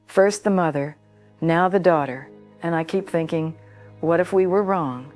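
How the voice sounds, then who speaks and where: An actress playing a worried older woman who calls a radio show, speaking earnestly and gravely. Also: on the low side, unhurried, darkish tone, soft background music, lightly acted. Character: worried older woman radio caller, long-time local. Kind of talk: audio drama acting.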